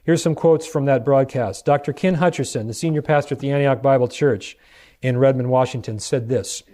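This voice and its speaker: An American man preaching in a lecture-like Bible study, explaining deliberately and putting rhetorical questions to his listeners. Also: medium pitch, dark tone. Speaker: preacher, church elder.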